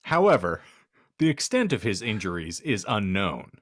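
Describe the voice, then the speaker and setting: A man's voice: on the low side, big pitch swings, moderate pace, a bit bright, dry recording, studio quality. A man hosting a podcast, reading out a comic hypothetical and bantering in a wry, wordy, mock-formal manner. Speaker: podcast host.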